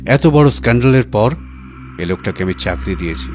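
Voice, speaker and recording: A man's voice: fairly low pitch, animated, a little fast, faint music behind, natural voice. A man voicing a stern, scheming businessman husband in an audiobook, with grave, emphatic, clipped phrases. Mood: bitter, accusing. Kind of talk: acted audiobook dialogue.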